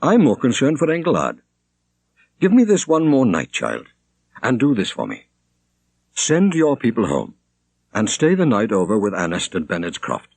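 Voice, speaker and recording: A man voicing a shrewd, kindly older monk in an audiobook, measured, thoughtful and gently wry. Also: mid-range voice, huge pitch swings, husky, dry recording, heavily acted. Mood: shrewd, pondering. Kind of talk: audiobook character reading.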